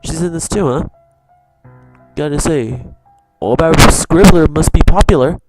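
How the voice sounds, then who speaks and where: A man's voice: a little high, measured pace, low-quality recording. A man giving casual, informal reaction commentary in a hesitant, rambling way as host. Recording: music playing behind the voice.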